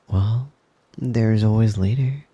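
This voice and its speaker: A man playing a possessive, doting, dominant wolf boyfriend in an intimate ASMR roleplay, speaking softly and coaxingly, often in a whisper. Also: fairly low pitch, no room sound, muffled recording, full character voice. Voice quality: soft, breathy, often whispering. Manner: slow, coaxing, possessive endearments. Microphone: close microphone, dry.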